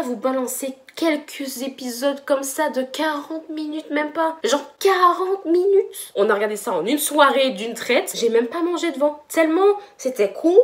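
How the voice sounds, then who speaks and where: A young woman chatting casually through a home hair tutorial, slangy and playfully dramatic. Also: sits high. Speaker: hair vlogger.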